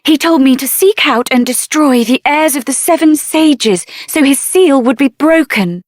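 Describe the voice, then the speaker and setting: A headstrong young adventure heroine with a high-pitched voice, recorded dry and close. She is spirited and earnest, speaking her lines expressively and plainly, as a fantasy video game character. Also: a little slow, no room sound. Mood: grave, explaining.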